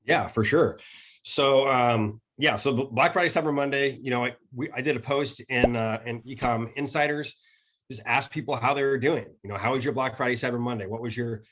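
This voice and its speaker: A man's voice: medium pitch, normal speed, fairly bright tone. Man with a tiring voice. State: starting to lose his voice. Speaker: podcast guest, e-commerce expert. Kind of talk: casual explanatory talk. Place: indoor room at home. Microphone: video call setup.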